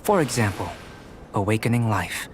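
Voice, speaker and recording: A man playing a calm, aloof young genius alchemist in a video game, speaking in a measured, formal, analytical way. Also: fairly low pitch, faint music behind, close to an everyday voice. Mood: calm, persuasive. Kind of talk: video game character acting.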